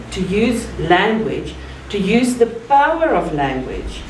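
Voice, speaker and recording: A woman from South Africa with an Afrikaans accent, giving an earnest, measured public talk with deliberate pauses. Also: medium pitch, a little mellow. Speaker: guest speaker. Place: art gallery. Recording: roomy, distant mic, faint ambient noise.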